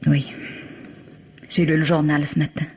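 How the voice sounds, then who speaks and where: A woman's voice: low voice, little pitch movement, unhurried, a little mellow, breathy edge. An actress playing a hesitant, sympathetic woman visitor in a French radio mystery drama. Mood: gentle sympathy. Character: soft-spoken, hesitant female visitor, sympathetic and solicitous. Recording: muffled vintage radio drama recording.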